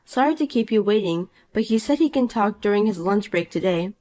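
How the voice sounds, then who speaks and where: A woman voicing a calm, cool married young woman in a dramatized story, dry and patient. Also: mid-range voice, a little fast, close to an everyday voice.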